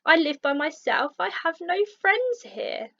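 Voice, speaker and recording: A woman's voice: very high voice, very animated, a little slow, vocal fry, a bit bright, dull audio, pitched above the performer's own voice, full character voice. A woman voicing a glum but hopeful young male rhino in a children's storybook, earnest and plaintive. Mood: sad, lonely.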